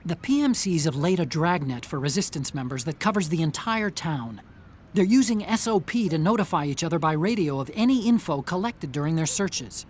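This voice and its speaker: A man's voice: a little high, expressive, fairly quick, natural voice. A man voices a clever, earnest male technician guiding an agent in a video game. He explains things step by step in a methodical briefing style, over faint background noise. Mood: serious, instructive.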